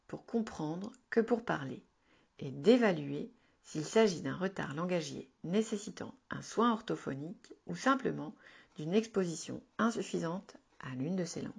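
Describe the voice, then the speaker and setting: A woman narrating a written advice article in a calm, measured, instructive reading. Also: lowish voice, vocal fry, breathy edge. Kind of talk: scripted informative narration.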